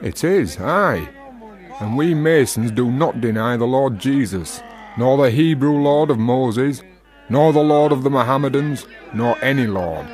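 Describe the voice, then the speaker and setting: An actor playing an earnest working-class Victorian Freemason in a radio drama, holding forth in high-flown, archaic, declamatory phrases. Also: male voice, lively intonation, a little slow, darkish tone, lightly acted. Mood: preaching. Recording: music under the voice.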